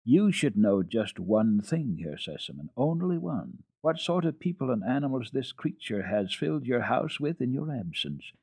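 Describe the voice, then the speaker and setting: A man's voice: medium pitch, big pitch swings, moderate pace, hardly any pauses, darkish tone, slightly rough, no room sound, voice pushed up. A man voicing a prim, fretful middle-aged housekeeper with a pompous, emphatic manner in a children's storybook reading. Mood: indignant, alarmed.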